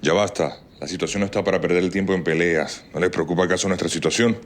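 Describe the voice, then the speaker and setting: A man's voice: sits low, steady tone, fast talker, lightly acted. A man playing a stern army sergeant, firm and commanding, in an amateur audio drama. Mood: severe, urgent.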